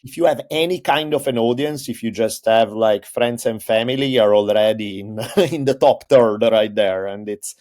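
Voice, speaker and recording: Low-voiced man explaining history. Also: normal speed, few pauses, darkish tone. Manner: thoughtful, explains at length with restarts. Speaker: guest, history podcaster. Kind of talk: casual interview talk.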